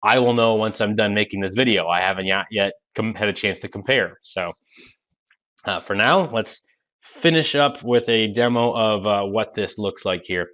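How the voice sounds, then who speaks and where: A man's voice: on the low side, moderate pace, a bit bright. A man, giving a casual product demo and explaining things step by step. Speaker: presenter of product review videos. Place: small indoor office. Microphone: Bluetooth headset microphone. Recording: dull lo-fi headset sound.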